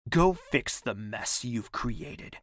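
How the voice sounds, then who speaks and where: A man playing a brooding, menacing young prince in an audio drama, speaking in a measured, gravelly, husky voice. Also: mid-range voice, animated, a bit bright, dry recording, studio quality. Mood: cold menace. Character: brooding, menacing young prince, tender toward his mother.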